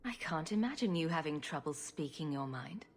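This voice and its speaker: An actress playing a wry, confident female adventurer in a video game, brisk and breezy. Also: low voice, animated, breathy edge. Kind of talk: video game character acting.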